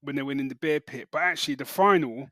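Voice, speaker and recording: A man's voice: mid-range voice, fast talker, pushed and bright, no room sound, clean studio sound. A man co-hosting a podcast in casual, enthusiastic, colloquial talk. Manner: colloquial, enthusiastic, acts out quotes, often ends phrases with "man". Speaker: podcast co-host. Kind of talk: casual chat, reviewing television.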